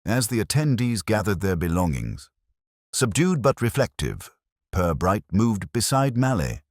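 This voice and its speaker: A man narrating a gentle mystery audiobook in a measured, literary storytelling manner. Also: fairly low pitch, sing-song, dry recording, studio quality.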